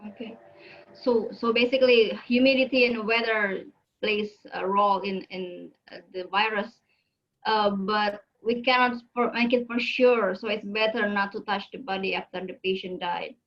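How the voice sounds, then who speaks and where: A woman moderating a webinar, speaking politely and haltingly over a web call. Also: medium pitch, fairly even intonation. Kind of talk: question and answer moderating. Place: online video call. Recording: dull lo-fi web call audio.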